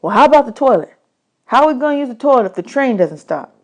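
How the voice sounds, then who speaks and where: A woman's voice: sits high, sing-song, fast talker, pitched above the performer's own voice. A woman playing an earnest, curious ten-year-old orphan boy with folksy, slangy talk in a storybook reading.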